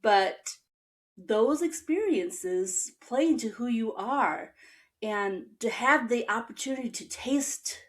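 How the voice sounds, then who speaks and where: A woman's voice: on the high side, lively intonation, takes it slowly. A woman from Texas and the Midwest hosting an interview in a casual, reflective conversational style. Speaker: interview host.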